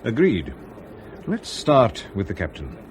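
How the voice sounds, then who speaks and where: Assured aviation boss, lowish voice, animated intonation, clipped, emphatic, radio drama. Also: male voice, slow pace, slight background noise, exaggerated voice. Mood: loyal, assured. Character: loyal, firm, experienced male airline aviation chief. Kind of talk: BBC radio drama acting.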